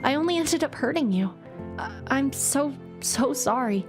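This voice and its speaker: A woman voicing a timid, guilt-ridden young woman who speaks haltingly and apologetically. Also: high voice, animated, music in the background, voice pushed up. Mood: remorseful.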